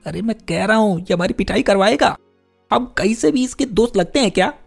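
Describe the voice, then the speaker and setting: A man's voice: high voice, a little fast, creaky, soft background music, pitched above the performer's own voice. A man voicing a wary, hungry little boy from a poor home in a story, hesitant and grumbling. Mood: distrustful.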